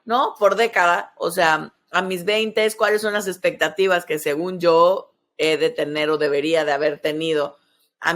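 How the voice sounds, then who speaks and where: A woman's voice: lowish voice, a bit bright. A woman in her early forties with a Mexican accent, hosting a podcast and explaining things step by step, often checking in with short tag questions. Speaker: podcast host, sexologist. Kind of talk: conversational explanatory podcast talk.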